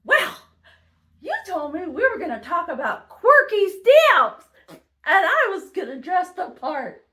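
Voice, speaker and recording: A woman with a high, bright voice co-hosts a casual show with goofy, playful banter. Her pitch bounces around a lot. She sounds bubbly and silly throughout. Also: very animated, a little slow. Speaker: co-host.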